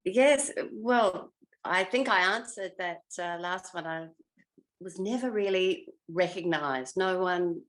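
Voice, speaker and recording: A woman's voice: lowish voice, takes it slowly. An older woman with an Australian accent reminisces casually as an interview guest, modest and often trailing off mid-thought. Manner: self-effacing, rambling, trailing off mid-thought. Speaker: interview guest, former television actress.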